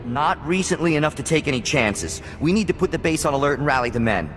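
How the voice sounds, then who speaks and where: An actor playing a zealous, jumpy militia comrade in a video game, earnest and urgent in manner. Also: male voice, on the high side, animated, fast talker, big-room sound, slight background noise, natural voice.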